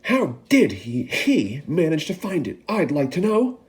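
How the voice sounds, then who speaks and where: A man voicing a large, resentful boy in a storybook, shouting angrily in a somewhat raised pitch. The delivery is loud and sulky. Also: very animated, unhurried. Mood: angry. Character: large angry boy, envious.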